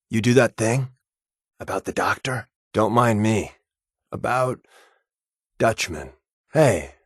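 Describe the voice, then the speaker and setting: A secretive spy companion from a video game, glib and irreverent. His voice sits a little low and is recorded close and dry. He talks in a sardonic, offhand way, like someone used to working undercover. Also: no echo, exaggerated voice. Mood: sly, casual. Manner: sardonic, offhand, conversational delivery.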